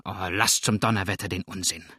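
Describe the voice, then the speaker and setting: A ruthless fugitive outlaw from an audiobook, played by a man, in a mid-pitched voice. He sounds hunted and menacing, grim and sneering, recorded very dry and close. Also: measured pace, dry recording, close to an everyday voice. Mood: angry. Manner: grim, cursing, threatening, sneering. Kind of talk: audiobook character acting.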